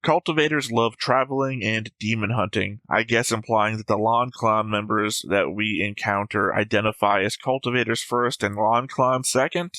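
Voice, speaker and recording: Bright-voiced man reviewing his notes. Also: fairly low pitch, steady tone, moderate pace, few pauses, no echo. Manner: analytical, jokey, acts out quotes. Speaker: reaction show co-host. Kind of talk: casual discussion reading notes.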